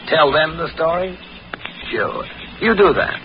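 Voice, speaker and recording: An actor playing a gloating, cruel swindler with a taunting, sardonic delivery in 1940s radio drama style. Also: male voice, fairly high pitch, very animated, measured pace. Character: gloating, mocking male swindler, smug and cruel. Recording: muffled, faintly noisy 1940s radio recording.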